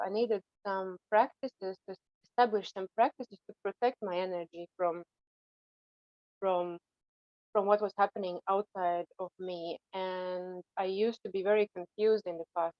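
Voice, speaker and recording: A woman from Latvia, a coach talking in a casual podcast panel, reflective and halting, restarting her sentences and laughing softly now and then. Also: medium pitch, no room sound, lo-fi sound.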